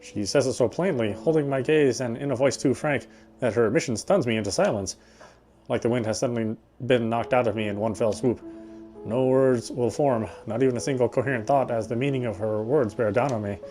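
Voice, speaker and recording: A man with a middling pitch reads visual novel narration aloud in an expressive storytelling style. Game music plays clearly behind his voice. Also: brisk. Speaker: player reading a game's narration aloud.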